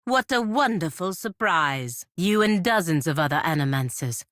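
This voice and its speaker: An actress playing a proud, sardonic fantasy warrior-diplomat in a video game, speaking formally and with dry scorn. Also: female voice, fairly low pitch, expressive, normal speed, a bit bright, no room sound. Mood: dry, scornful. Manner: formal, clipped, dryly scornful. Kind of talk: video game character dialogue.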